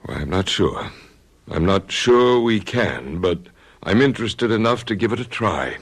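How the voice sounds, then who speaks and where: A calm, inquisitive doctor in an old radio mystery drama. His speech is measured and reassuring, with a pitch that rises and falls in lively swings. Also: slightly rough, full character voice. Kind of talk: 1970s radio drama acting.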